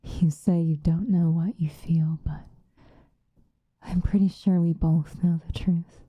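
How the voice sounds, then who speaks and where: A woman playing an obsessive, possessive lovesick woman in an intimate, whispered ASMR roleplay, with a breathy voice. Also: fairly low pitch, steady tone, mellow, no echo. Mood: possessive, resigned. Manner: slow, intimate, pleading.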